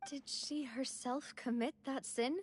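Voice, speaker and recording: A woman voicing a brisk, caring young woman in a video game, earnest, polite and reassuring. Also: high voice, steady tone, darkish tone, breathy edge. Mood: puzzled, earnest.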